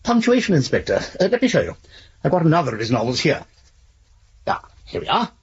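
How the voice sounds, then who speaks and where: An actor playing a polite, bookish manuscript expert who rambles absent-mindedly in a genteel manner in a radio drama. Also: male voice, on the high side, sing-song, a little fast, breathy edge, highs cut off, exaggerated voice. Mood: pedantic.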